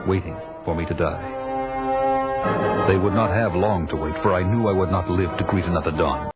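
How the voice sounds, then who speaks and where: A man's voice: deep voice, normal speed, muffled recording, loud music behind the voice, natural voice. A man playing a brooding barbarian warrior, solemnly telling his tale of vengeance in a comic adaptation. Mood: grim, solemn. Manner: grave, solemn, portentous. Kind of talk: dramatic first-person storytelling for a comic adaptation. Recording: loud film score behind.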